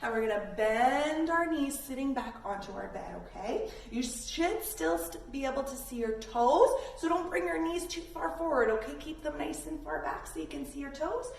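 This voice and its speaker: A woman's voice: high voice, expressive, normal speed, hardly any pauses. A woman instructing young children in yoga in a playful, encouraging way. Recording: roomy, distant mic, faint noise.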